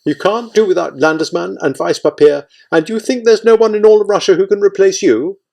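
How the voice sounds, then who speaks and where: A man voicing a male institute official in an audiobook, condescending and insistent, placating with quiet menace. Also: high voice, brisk, pitched above the performer's own voice. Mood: stern. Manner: smooth-talking, condescending, insistent. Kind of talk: audiobook character acting.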